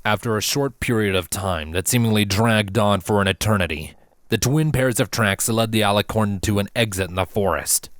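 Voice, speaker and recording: A man reading a scripted fantasy story as narrator, in a measured, dramatic and solemn way. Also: fairly low pitch, a bit bright, dry recording, clean studio sound.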